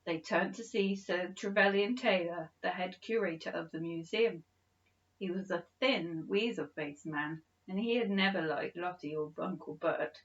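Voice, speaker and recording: A woman reading a children's story aloud as an expressive bedtime storyteller. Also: lowish voice, unhurried, lo-fi sound.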